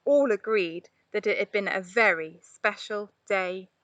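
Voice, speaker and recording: A woman reading a children's storybook aloud in an expressive narrating style. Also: mid-range voice, measured pace, dry recording. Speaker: storybook reader.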